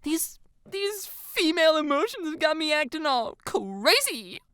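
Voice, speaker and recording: A woman's voice: high voice, wildly swooping pitch, unhurried, fairly bright tone, dry recording, studio quality, voice pushed up, full character voice. A woman voicing an anxious, sarcastic teenager in a girl's body, with a whiny, dramatic delivery in a story narration. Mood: whiny, pleading.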